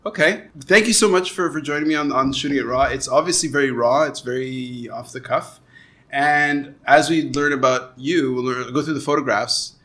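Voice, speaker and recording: Bright-voiced chatty man. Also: mid-range voice, moderate pace. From Quebec, Canada. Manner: jokey, chatty, thinks out loud with fillers. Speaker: podcast host. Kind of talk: casual conversation.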